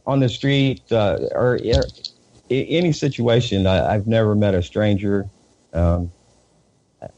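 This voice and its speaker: A man with a Southern US accent, a reporter being interviewed, talking in a halting way with many fillers and repeated words. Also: fairly low pitch, dark tone, muffled recording. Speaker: independent news reporter, guest. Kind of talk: casual interview talk.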